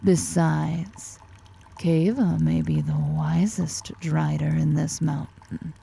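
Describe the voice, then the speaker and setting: A woman plays an imperious spider-woman of fantasy audio roleplay, very dark and velvety in tone. She speaks low and unhurried, with a husky breath, teasing as she gives commands. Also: fairly even intonation, full character voice. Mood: proud, commanding. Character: powerful, imperious, flirtatious female drider, half-spider monster. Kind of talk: ASMR fantasy roleplay acting.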